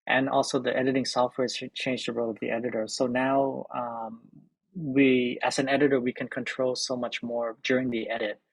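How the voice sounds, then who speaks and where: A middle-aged man from Thailand, a film editor and director, answering interview questions in a halting way with many repeated words and fillers. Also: mid-range voice, flat delivery, creaky, dry recording, rough recording.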